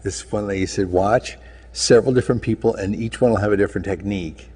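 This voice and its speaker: A man guesting on a talk show, talking in a laid-back, halting, meandering way. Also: fairly low pitch, darkish tone, slightly rough. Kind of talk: casual chat.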